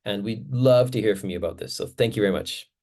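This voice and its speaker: A man, co-author of a book, giving casual closing remarks in a relaxed, rambling way with many fillers. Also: fairly low pitch, fast talker, vocal fry.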